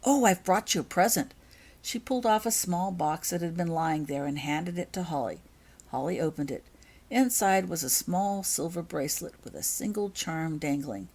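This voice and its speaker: Low-pitched woman reading a story. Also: lively intonation, moderate pace, a little mellow. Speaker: author reading own story. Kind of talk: scripted audiobook narration.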